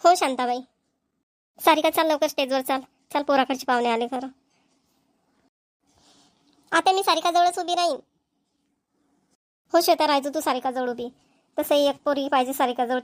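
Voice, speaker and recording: Woman playing chatty village gossip, cartoon, Vidarbha Marathi accent, high voice, speedy delivery, folksy, dry sound. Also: fairly quick, pauses often, no echo, close to an everyday voice. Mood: bustling. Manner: folksy, talkative, colloquial. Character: chatty village women gossiping at a family engagement. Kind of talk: amateur cartoon dialogue acting.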